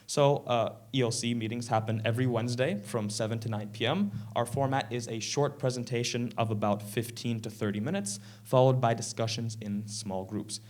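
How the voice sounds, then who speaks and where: A sixteen-year-old boy from Canada giving a prepared stage talk in an earnest, measured way, a little sick. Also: mid-range voice, fairly even intonation, a little mellow. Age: teens. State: slightly sick, clearing throat. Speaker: presenter. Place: event stage.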